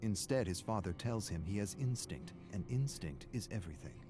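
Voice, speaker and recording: An actor playing a grim storyteller, reading a dark family tale for a video game in a solemn, deliberate, menacing way. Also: male voice, fairly low pitch, lively intonation, background noise, close to an everyday voice. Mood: somber. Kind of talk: dramatic scripted third-person narration.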